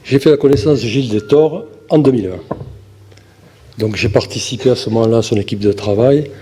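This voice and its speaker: A man in his fifties from southern France giving a halting campaign speech to a local audience, with fillers and restarts. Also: mid-range voice, warm tone. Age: 50s. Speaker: municipal election candidate. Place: meeting hall. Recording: long hall reverb with faint room noise.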